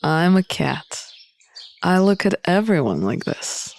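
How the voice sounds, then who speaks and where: A woman voicing a dry, superior male talking cat in a story game, terse and deadpan. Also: on the low side, slow pace, creaky, dry recording, voice pushed down, heavily acted.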